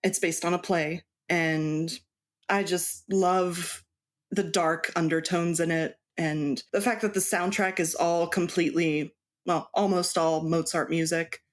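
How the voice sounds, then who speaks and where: A woman's voice: on the low side, steady tone, a little slow. A woman whose first language is Spanish, a cross stitch designer, talking casually as an interview guest and laughing often. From Mexican household.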